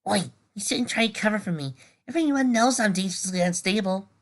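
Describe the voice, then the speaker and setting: A woman's voice: medium pitch, a little fast, voice pushed up, lightly acted. Woman doing a brash, wild teenage girl. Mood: defiant. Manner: loud, sharp, exclaiming. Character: brash unstable teenage girl, blunt and defiant.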